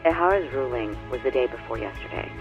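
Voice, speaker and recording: An earnest lawyer, played by a male actor, speaks in a measured and formal manner, laying things out clearly at a middle pitch. It sounds like a video game cutscene, with music clearly playing behind him. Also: expressive, dull audio, natural voice. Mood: cautioning. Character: earnest male lawyer briefing a detective colleague.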